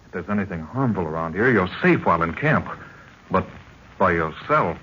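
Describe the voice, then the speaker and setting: A man's voice: low voice, lively intonation, moderate pace, bright, forceful tone. An actor playing a gallant, protective young man, polite and earnest, in an old-time radio adventure serial. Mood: concerned. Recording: dull, noisy vintage radio recording.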